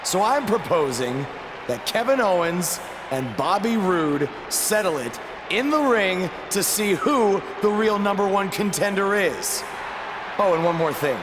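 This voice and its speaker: Brash high-voiced young wrestling champion. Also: male voice, lively intonation, measured pace, big-room sound, background noise. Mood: fed up, defiant. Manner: emphatic, declaring to a crowd. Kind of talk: wrestling promo in a video game cutscene.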